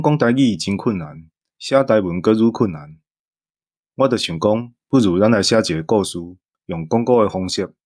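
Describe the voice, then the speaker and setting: A man's voice: lowish voice, darkish tone. A man with a Taiwanese accent, an amateur voice actor, giving a casual farewell talk to listeners. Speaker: amateur voice actor on an audio drama team.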